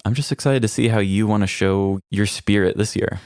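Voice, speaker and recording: Man, podcast co-host, casual chat. Low-pitched, clean smooth voice, dry close recording. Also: a little fast, a little mellow, no echo.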